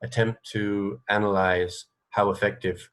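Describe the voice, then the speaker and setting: A man's voice: on the low side, level tone, a little slow, a bit bright, smooth. A man hosting a casual radio interview, speaking tentatively and thinking aloud as he goes.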